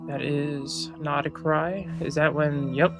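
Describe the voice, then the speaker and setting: A man giving casual, offhand, sweary reactions as a gaming streamer, with a gravelly voice. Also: a little high, steady tone, slow. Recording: faint game music behind voice. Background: game music.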